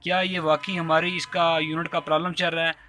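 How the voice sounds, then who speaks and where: Bright-voiced Pakistani man giving a tutorial. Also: fairly high pitch, flat delivery, a little fast, slight background noise. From Azad Kashmir, Pakistan. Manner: polite, repetitive step-by-step explaining. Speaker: repair tutorial host. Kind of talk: informal hands-on tutorial narration. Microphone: handheld phone while working.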